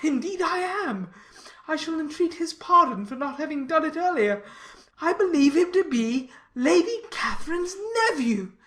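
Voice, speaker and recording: A woman voicing a pompous, obsequious and excitable clergyman in a dramatized classic novel, with wordy, emphatic delivery. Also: high voice, normal speed, pitched above the performer's own voice. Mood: exultant, fawning.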